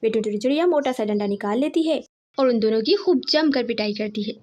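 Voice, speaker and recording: A woman storyteller with a very high voice. She reads scripted narration for a children's animal tale. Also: brisk, warm tone.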